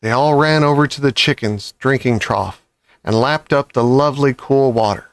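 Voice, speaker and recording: Guy reading a kids audiobook, medium pitch, nice dry recording. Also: normal speed, clean tone, dry recording. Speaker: audiobook reader. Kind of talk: scripted children's story narration.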